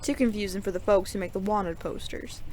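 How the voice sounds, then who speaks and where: A woman's voice: mid-range voice, a little fast, a little mellow, slight background noise, lightly acted. A woman playing a weary, honorable, teasing ex-sheriff gunslinger in a western audio drama, with a drawl of frontier slang. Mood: fond, wry. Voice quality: gravelly creak.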